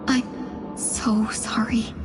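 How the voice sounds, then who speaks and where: An actress playing a gentle, wounded young woman in a video game, with a soft, breathy, halting delivery. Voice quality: breathy, whispery. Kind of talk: scripted video game voice acting. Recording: music behind the voice.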